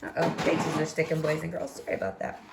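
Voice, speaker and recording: A woman's voice: sits low, fairly even intonation, fast, vocal fry, noisy background. A woman reading a picture book aloud expressively to young listeners. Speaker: story reader for children. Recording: echoey room.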